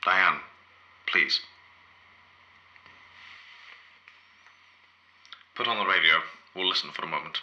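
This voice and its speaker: Bright-edged, terse, proud poet. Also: male voice, deep voice, brisk, dull audio. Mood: quiet, pleading. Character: grave, proud male poet, quietly commanding. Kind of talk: audio play acting.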